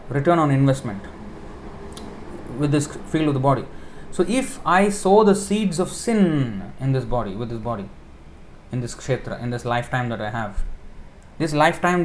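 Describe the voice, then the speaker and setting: A man's voice: a little high, lively intonation, moderate pace, a little hiss or hum. Man giving a chatty scripture talk. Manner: explains with homely examples, stops to correct himself, thinks out loud. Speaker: preacher giving a scripture class. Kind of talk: informal sermon.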